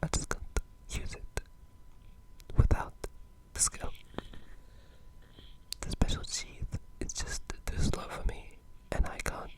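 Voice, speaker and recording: A person speaking in a breathy, unvoiced whisper, casually discussing games in an ASMR style with a hushed, halting delivery. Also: poor audio. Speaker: ASMR gaming video creator.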